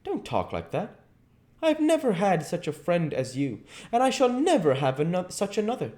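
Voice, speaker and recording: A man reads as an earnest painter stung by his friends. The voice is raised well above the reader's own and moves widely in pitch. The tone remains warm and dark. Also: very animated, moderate pace. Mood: wounded.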